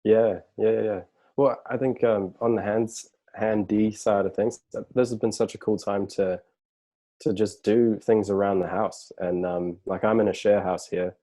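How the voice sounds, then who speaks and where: A man chatting casually as a podcast guest over a video call, relaxed and rambling with fillers. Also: fairly low pitch, moderate pace. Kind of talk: casual chat.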